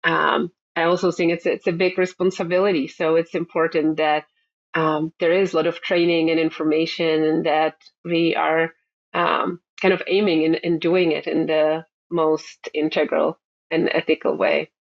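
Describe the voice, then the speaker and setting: A woman's voice: low-pitched, level tone, creaky. Middle-aged woman, reflective therapist guest, muffled lo-fi recording. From Czech Republic. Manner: thoughtful, halting, many fillers and repeated words. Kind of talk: interview answers. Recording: dull lo-fi sound.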